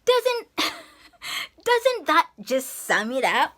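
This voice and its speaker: A woman's voice: high-pitched, wildly swooping pitch, drawn-out words, a bit bright. A woman from Zambia chatting effusively to camera in a vlog, laughing often. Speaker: vlogger. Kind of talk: casual vlog talk to camera.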